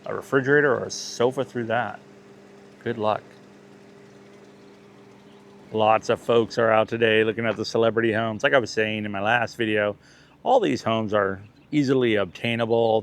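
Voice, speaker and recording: A man vlogging outdoors while touring a neighborhood, chatty and jokey, correcting himself as he goes. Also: on the low side. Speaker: vlogger, tour guide. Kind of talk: casual touring commentary.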